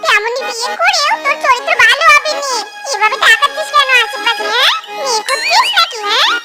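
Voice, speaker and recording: An actor playing a jealous, quick-tempered female cartoon character who nags and accuses with heavy sarcasm. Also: extremely high pitch, animated. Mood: scolding. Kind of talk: Bangla children's cartoon voice acting. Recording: loud music behind the voice.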